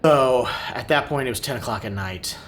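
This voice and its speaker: A man's voice: normal speed, a bit bright. A man who vlogs family travel, giving an unscripted recap in a downbeat, regretful manner. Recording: clear background noise, low rumble.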